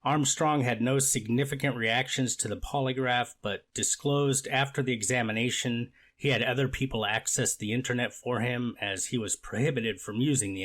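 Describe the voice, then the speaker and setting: A man playing a deliberate, fact-minded judge in a courtroom readers theater, speaking in a formal, measured and slightly halting way. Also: medium pitch, fairly bright tone, close to an everyday voice. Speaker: judge reading and questioning counsel.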